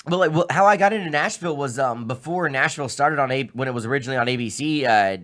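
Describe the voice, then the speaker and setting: A man's voice: medium pitch, quick pace, a bit bright. A man hosting a casual podcast chat with friends, talking in a rambling way full of fillers and restarts. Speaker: podcast host.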